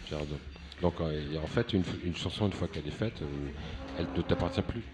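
Man, low pitch, halting, reflective discussion, noticeable background noise. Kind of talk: spontaneous discussion.

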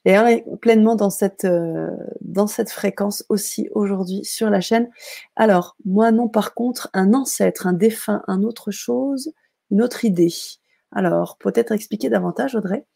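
Gravelly, dark-voiced woman host. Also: mid-range voice, measured pace, no room sound, clean studio sound. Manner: warm, enthusiastic, hesitant filler-heavy reading. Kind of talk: hosting a live stream, reading viewer comments.

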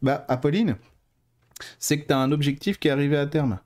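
Guy doing a casual livestream, mellow soft-edged voice, chatty, rambles off on tangents, informal wording. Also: on the high side, a little fast. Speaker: host of a live stream on mediumship and clairvoyance. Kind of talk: casual live talk answering viewer questions.

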